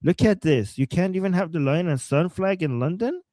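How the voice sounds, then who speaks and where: A man with a Persian accent co-hosting a live stream, reading out chat messages and reacting with animated, joking commentary and frequent laughter. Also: high-pitched, normal speed, heavy background noise.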